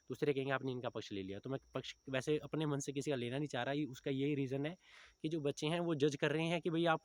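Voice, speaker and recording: A man of about thirty talks at a brisk, rapid pace in a medium-pitched voice. He speaks informally, like a coach advising students, and keeps checking in with small tags as he explains. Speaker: exam coaching teacher giving advice to recruitment candidates. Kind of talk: informal motivational advice talk to viewers.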